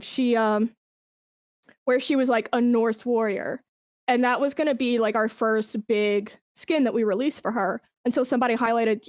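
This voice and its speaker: A woman's voice: on the high side, darkish tone, dry recording, clean studio sound. A woman speaking as an interview guest in casual, informal conversation, telling things with slangy asides. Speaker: podcast guest, esports brand manager.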